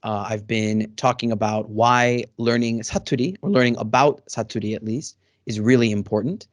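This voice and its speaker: A man's voice: mid-range voice, normal speed, no echo, studio quality. A man hosting a casual interview over a video call, friendly and rambling with many fillers. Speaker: host. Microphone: studio microphone.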